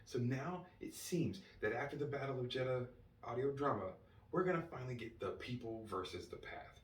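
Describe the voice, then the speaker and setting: A man giving an enthusiastic fan review as a solo host, excited and emphatic, drawing out key words. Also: medium pitch, moderate pace. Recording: roomy, distant mic with faint noise.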